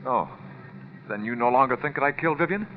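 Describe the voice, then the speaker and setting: A man's voice: medium pitch, animated, quick pace, natural voice. A man acting a weak, anxious cheating husband in a 1940s-style radio drama, pleading and defensive. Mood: alarmed. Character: weak, anxious philandering husband, rich wife's kept man. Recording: dull, noisy vintage radio recording.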